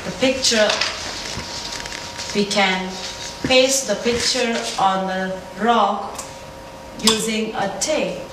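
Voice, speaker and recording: A woman in her thirties giving a deliberate, instructional scripted product demonstration in a showroom, picked up by a distant camera mic. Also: mid-range voice, fairly even intonation. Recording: echoey, distant camera mic, noisy room.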